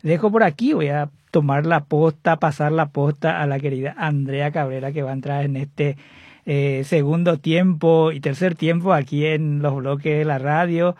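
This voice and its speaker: A man presents a live radio program in a dry studio. His voice sits a little high. He explains at a relaxed pace, dropping in fillers as he goes. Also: moderate pace, no room sound. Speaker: radio host.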